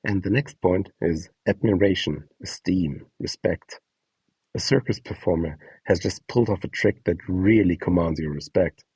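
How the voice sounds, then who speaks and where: A man's voice: deep voice, normal speed, a little mellow, breathy edge, dry recording, poor audio. A man presenting a scripted guide, announcing prompts and describing short example scenes. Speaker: presenter of an audio donation guide.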